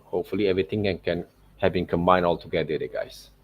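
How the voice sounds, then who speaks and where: A man narrating an informal art software tutorial as a teacher, in loosely phrased non-native English, often checking in with short tag words. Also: sits low, fairly even intonation, quick pace, dull audio. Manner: repeats check words like okay and right.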